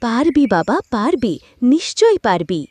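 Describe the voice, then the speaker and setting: A woman's voice: on the high side, very animated, normal speed, darkish tone. A woman voicing a kindly mother goddess in a cartoon moral story, tender and reassuring. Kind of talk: animated moral story voice acting.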